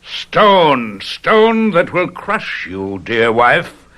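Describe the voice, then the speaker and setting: An actor playing a cruel, pompous English aristocrat in an old radio drama, sarcastic and menacing. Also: male voice, on the high side, sing-song, extremely slow, pushed and bright, exaggerated voice. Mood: sneering threat. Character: cruel, gloating English aristocrat husband with a gun.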